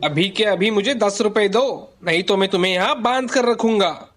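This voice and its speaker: A performer voicing a greedy, bullying male sweet-shop keeper in a children's cartoon story, gruff and demanding. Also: medium pitch, normal speed, a bit bright, faint music behind, voice pushed down, close to an everyday voice. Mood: threatening.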